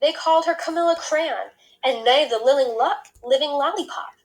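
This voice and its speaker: A woman reading a children's story aloud in an expressive, emphatic storytelling style. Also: high voice, normal speed, low-quality recording. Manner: animated, emphatic delivery. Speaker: read-aloud storyteller, teacher.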